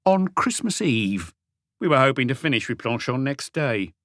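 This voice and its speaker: A man's voice: mid-range voice, sing-song, moderate pace, a bit bright, no room sound, clean studio sound, pitched above the performer's own voice. A man voicing a self-satisfied, disdainful male suspect in his late twenties for an audiobook. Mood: smug. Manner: smug, insistent.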